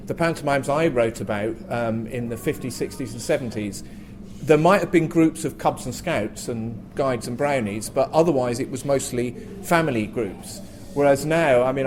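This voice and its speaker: A man's voice: medium pitch, a little mellow. A British man talking in a reflective, explanatory way as a panelist in an informal discussion. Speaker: panelist, pantomime author. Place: live panel in a theatre. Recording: faint background noise, live event.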